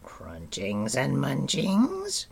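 A man's voice: mid-range voice, wildly swooping pitch, extremely slow, vocal fry, breathy edge, voice pushed up, heavily acted. A man voicing a whimpering, servile wild creature in an audiobook, fawning and pitying himself in the third person. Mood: hopeful. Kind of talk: audiobook character voice.

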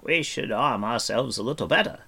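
A man's voice: mid-range voice, animated, moderate pace, gravelly, pushed and bright, slightly rough, pitched above the performer's own voice, heavily acted. A man voicing a boastful, gallant male bard in a storybook, declaiming his lines. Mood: urgent.

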